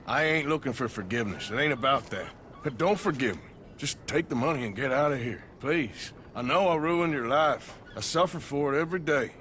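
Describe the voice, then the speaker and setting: An actor playing a weary, remorseful outlaw in a video game, with a rough American drawl and blunt, earnest delivery. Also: male voice, mid-range voice, lively intonation, brisk, fairly bright tone, a little hiss or hum, close to an everyday voice. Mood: remorseful, pleading. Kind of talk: video game cinematic acting.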